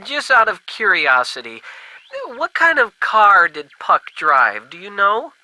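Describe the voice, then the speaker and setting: An actor playing a folksy, skeptical working-class ham radio buddy in a video game, talking in casual old-fashioned slang. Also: male voice, sits high, sing-song, takes it slowly, bright, forceful tone, no echo, full character voice, low-quality recording. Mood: wry.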